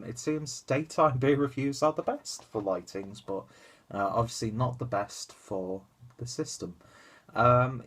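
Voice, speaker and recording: A young man with a stuffy, congested nose and a nasal voice, chatting casually through a beer review at home on a webcam. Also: mid-range voice. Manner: rambling, chatty, lots of fillers and asides. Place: small room at home, indoors.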